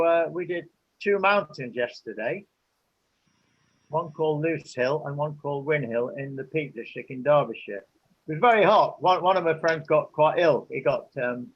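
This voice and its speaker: An older man from England answering interview questions in an unhurried, hesitant way, with many fillers. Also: on the high side, dull audio.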